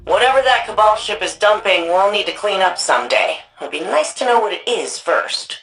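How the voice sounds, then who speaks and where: A woman's voice: mid-range voice, lively intonation, normal speed, fairly bright tone, poor audio. An actress playing a wry, anxious woman archivist and resistance hacker in a sci-fi video game, speaking in a casual, conversational way. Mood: focused, matter-of-fact. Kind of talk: video game mission dialogue.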